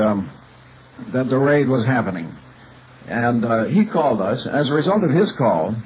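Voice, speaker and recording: An American man doing talk radio as a station owner, halting but insistent and lecturing. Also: lowish voice. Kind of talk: talk radio commentary and phone questioning. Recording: tinny, noisy shortwave radio broadcast.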